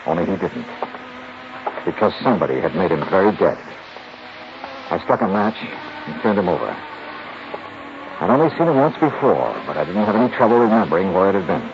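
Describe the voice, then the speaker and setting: An American actor playing a tough, wry insurance investigator in a 1950s radio detective drama, clipped and hard-boiled. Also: male voice, sits low, very animated, fairly quick, pauses often, background noise. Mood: dry, wry. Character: tough, wry male insurance investigator narrating his case. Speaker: radio actor in the lead role. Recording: muffled 1950s radio recording with music.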